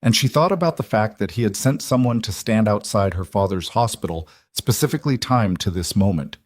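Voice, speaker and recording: A man reading scripted dramatic fiction as an audiobook narrator, measured and deliberate in short phrases. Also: on the low side, lively intonation, a little mellow.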